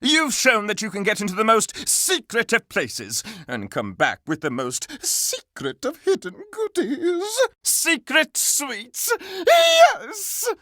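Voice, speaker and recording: A flamboyant living candelabra from a video game, revels in scandal like a salacious dandy. The delivery is florid and archaic, with huge leaps in pitch and coy exclamations. The sound is dry and close. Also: male voice, pushed and bright, dry recording, heavily acted. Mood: gleeful, theatrical. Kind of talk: video game character acting.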